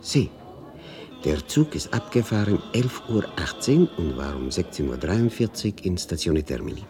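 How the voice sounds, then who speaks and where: A deep, animated Italian man, a talkative informant with a knowing, bargaining air. His Italian accent is plain and he drops in words of his own language. Faint music plays behind this radio drama performance. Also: normal speed. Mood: matter-of-fact. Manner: slips Italian words into broken German. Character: shrewd, talkative Italian informant selling tips.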